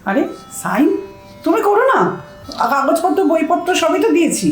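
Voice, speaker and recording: A bossy, illiterate older housewife in a comic audio drama, acted by a woman, swings through a very lively range of pitch. She scolds, wheedles and boasts with great self-importance. The room rings around her and faint music plays underneath. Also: normal speed, echoey room. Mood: irritated.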